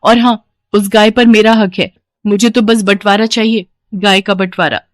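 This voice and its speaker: A woman's voice: mid-range voice, fairly even intonation, normal speed, no room sound, studio quality, natural voice. A woman voicing a scheming, demanding young city daughter-in-law who complains insistently in a cartoon moral story. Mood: angry, demanding.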